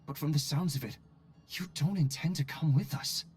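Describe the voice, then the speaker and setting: An actor voicing an earnest, fretful colleague in a video game, speaking politely but emphatically. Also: male voice, a little high, normal speed, breathy edge, faint music behind. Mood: concerned. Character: earnest, fretful male colleague, concerned and exasperated.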